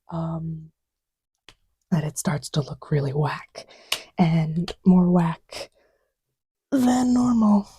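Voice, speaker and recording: Slow, playful woman chatting softly, close and dry. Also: fairly low pitch, slightly rough, dry recording. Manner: playful, rambling, teasing, often dropping into near-whisper. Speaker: audio roleplay creator playing a partner to the listener. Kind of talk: casual intimate roleplay chat while testing markers.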